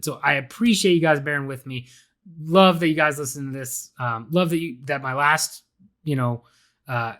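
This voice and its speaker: Raspy-voiced man hosting a podcast. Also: on the high side, fairly bright tone. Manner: casual, chatty, frequent fillers and restarts. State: slightly raspy from illness. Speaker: podcast host. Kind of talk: solo podcast commentary.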